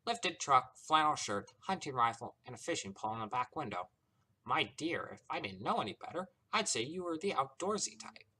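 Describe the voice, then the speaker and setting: Guy doing a smug trickster dude for a story, sickly sweet teasing, higher pitched, swoops around a lot. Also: very animated, moderate pace, bright, forceful tone, husky, heavily acted. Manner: theatrical, teasing, over-the-top sweetness. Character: smug mischievous male trickster, mockingly sweet and theatrical. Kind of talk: character voice in narrated story.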